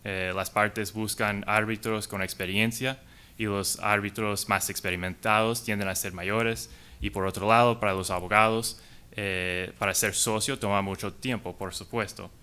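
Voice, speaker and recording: A man, an English speaker, giving a conference talk in halting, hesitant Spanish. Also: lowish voice, steady tone, bright, forceful tone, smooth. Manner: halting, hesitant delivery in non-native Spanish. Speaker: conference panelist.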